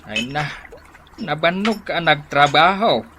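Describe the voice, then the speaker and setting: A man's voice: a little high, animated, takes it slowly, pushed and bright, a little hiss or hum, heavily acted. A man playing a fretful but fond older father in an Ilocano comedy radio drama, with an Ilocano accent. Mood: weary, grumbling.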